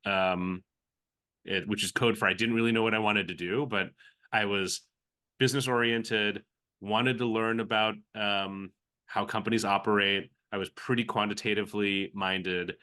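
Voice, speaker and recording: A man with a bright, forceful voice pitched a little low speaks with an American accent. He is a guest on an interview podcast. He talks reflectively and piles up fillers and restarts as he goes. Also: little pitch movement, a little slow, clear, resonant tone. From Philippines. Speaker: venture capital guest.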